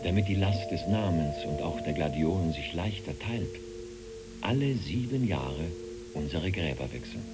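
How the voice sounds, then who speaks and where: A solemn, otherworldly brother of the graveyard in a radio drama. He intones in a very deep, slow voice, with loud singing-bowl tones ringing behind him. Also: animated, loud music behind the voice. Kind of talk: literary radio play acting.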